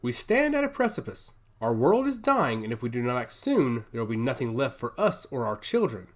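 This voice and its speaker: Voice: medium pitch, very animated, a little fast. A narrator voicing a revered, commanding old woman who leads a secret order, speaking steadily and with solemn deliberation. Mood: solemn, urgent. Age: older.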